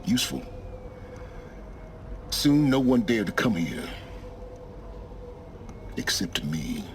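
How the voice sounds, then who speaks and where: An actor playing an aloof, cold middle-aged scholar in a video game, speaking in formal, deliberate, grandiose phrases. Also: male voice, mid-range voice, sing-song, slight background noise. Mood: grandiose, brooding. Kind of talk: dramatic video game dialogue.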